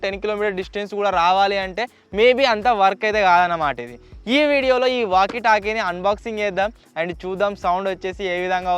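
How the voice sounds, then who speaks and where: Man, Telugu accent, high clear voice, fast pace, chatty vlog host, explanatory, English words mixed in. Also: a bit bright. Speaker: YouTube host. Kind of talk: casual unboxing and testing vlog.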